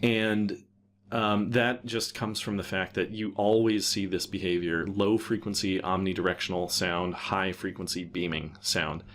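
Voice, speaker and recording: A man's voice: deep voice, level tone, a little slow, fairly bright tone. A man giving an unscripted technical tutorial, thinking aloud and explaining in a hesitant way. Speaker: tutorial presenter.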